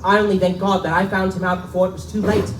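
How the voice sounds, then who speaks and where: A man playing an anxious, upright young gentleman who speaks in urgent, guarded, formal warnings at a live dramatic reading in an echoing room. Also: high-pitched, little pitch movement, fast, close to an everyday voice. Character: Lee, anxious upright young clergyman's son warning a friend. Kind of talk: live dramatic reading of gothic pulp tale. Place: live stage reading in a bookstore. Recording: echoey live recording, distant mic, faint noise.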